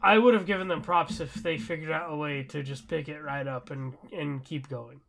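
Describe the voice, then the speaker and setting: Young male podcast co-host, slightly high and very creaky, rambling enthusiastically in casual conversation. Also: fairly even intonation, normal speed, fairly bright tone. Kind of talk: casual chat about shows and anime.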